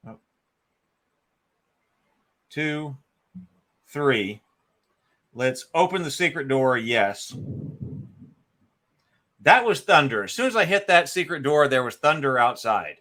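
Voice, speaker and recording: Bright-voiced man narrating solo board game play. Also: medium pitch, lively intonation, slow pace, long pauses. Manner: thinks aloud, announces dice counts and moves. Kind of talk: casual live play-by-play with narrated moves.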